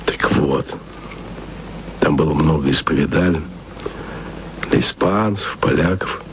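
A man's voice: rumbling low, measured pace, background noise. A man narrating a radio play as tender, musing letters spoken to a loved one. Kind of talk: intimate radio-play monologue.

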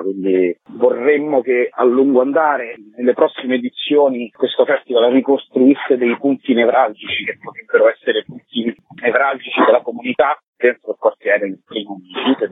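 A man's voice: medium pitch, breathy edge, no echo. A man, a theatre director being interviewed for radio, explaining his project over a phone call. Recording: muffled narrow phone line.

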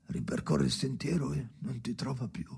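A man playing a haunted, brooding storyteller in an experimental radio drama, speaking in halting, repeated fragments with a creaky voice that often drops to whispers. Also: medium pitch, lively intonation, very noisy. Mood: brooding, uneasy. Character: haunted brooding man narrating memories alone by the sea.

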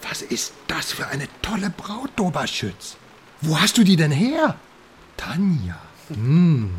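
A man's voice: medium pitch, slight background noise, natural voice. An actor playing a cocky, boastful small man, an irreverent journalist in a radio crime drama, talking in a wry, chatty way.

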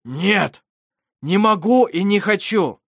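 A defiant male servant from a fantasy storybook, acted out by a reader. He is bitter and stubborn, hissing and shouting at a raised pitch in a very dry recording. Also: animated, slow pace, dry recording, heavily acted. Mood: stubborn refusal.

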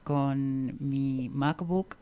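A woman with an Argentine accent chatting casually as a musician guest in an interview, playfully joking in a deadpan way. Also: rumbling low, drawn-out words, dry recording.

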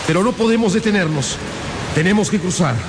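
An actor playing an old professor and explorer in a radio drama, excitable, commanding and theatrical. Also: male voice, high voice, fairly quick, noisy background. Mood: authoritative. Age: older. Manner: theatrical, exclamatory. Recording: music behind the voice.

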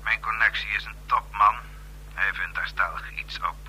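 A man playing a deferential, self-assured secret accomplice in a radio play, formal and measured, with a raspy voice. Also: lowish voice, steady tone, pushed and bright, a little hiss or hum, low-quality recording. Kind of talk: Dutch radio play acting.

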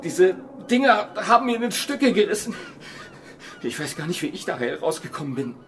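Shaken survivor, high agitated voice, noisy ambience, radio drama. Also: male voice, very animated, normal speed. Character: shaken survivor of a deadly attack. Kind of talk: German radio drama acting.

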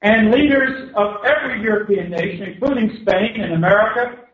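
A man with a Southern US accent giving a public speech through an interpreter, in short declamatory phrases that pause for translation. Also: high voice. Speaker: guest speaker. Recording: echoey hall, distant tinny mic.

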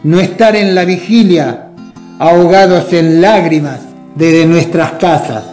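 Male, high register, solemn and very slow poetry reading over faint guitar. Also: darkish tone. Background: plucked guitar music.

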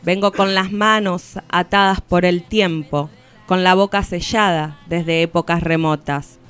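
An actress playing a defiant woman who speaks for all women, in a solemn, deliberate radio theatre recitation. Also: fairly low pitch, steady tone, fairly bright tone, clear, resonant tone, faint music behind. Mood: solemn, accusing. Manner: solemn, deliberate, poetic declamation. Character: defiant collective woman of all ages, grieving and accusing.

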